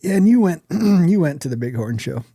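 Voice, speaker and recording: Casting: male podcast co-host, creaky mid-pitched voice, laid-back tone, blunt and sweary casual talk. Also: sing-song, brisk, mellow, dry recording, studio quality. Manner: blunt, profane. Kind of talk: casual podcast chat about hunting and events.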